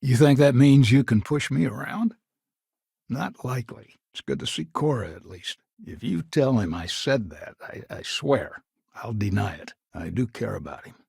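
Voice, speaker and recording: A gravelly, stubborn old frontier patriarch. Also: male voice, mid-range voice, expressive, moderate pace, a little mellow, dry recording, clean studio sound, full character voice. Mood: irritated, then wistful. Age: older. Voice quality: gravelly, husky. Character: proud, stubborn retired patriarch of a frontier founding family. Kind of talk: video game character dialogue.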